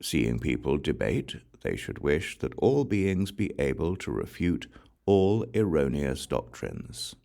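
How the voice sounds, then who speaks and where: A man reading scripture aloud as an audiobook narrator, in a measured, solemn recitation. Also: extremely low pitch, big pitch swings, vocal fry, dark tone. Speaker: audiobook reader.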